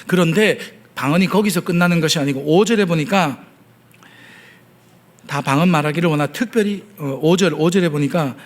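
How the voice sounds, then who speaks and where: A man with a Gyeongsang accent preaching a sermon as the pastor, earnest and explaining step by step, often pausing on fillers. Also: fairly high pitch. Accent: Gyeongsang Korean. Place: church sanctuary.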